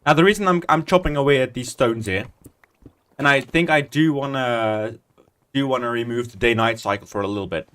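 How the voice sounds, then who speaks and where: A man's voice: mid-range voice, a little fast, fairly bright tone. A man, chatting casually and rambling while he plays a building game on stream, muttering under his breath as he types commands. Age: 20s. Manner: rambling, chatty, self-deprecating. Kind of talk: casual Minecraft stream commentary.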